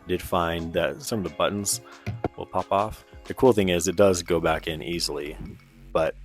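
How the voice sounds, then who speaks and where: A man's voice: low-pitched, normal speed, soft background music. A man giving a casual, informal product review in a conversational way. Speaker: presenter of radio gear review.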